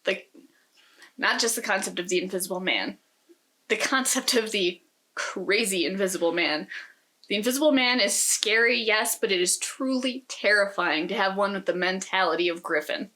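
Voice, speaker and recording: Bright-voiced woman in casual discussion. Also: mid-range voice, moderate pace. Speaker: podcast co-host.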